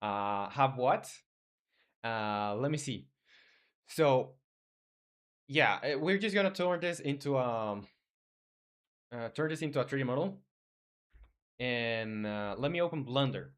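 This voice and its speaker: A man's voice: medium pitch, lively intonation, slow pace, pauses often, smooth. Casual man chatting on stream. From Chile. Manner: informal, hesitant, reads viewer messages aloud and replies. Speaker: game developer live streamer. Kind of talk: casual livestream chat.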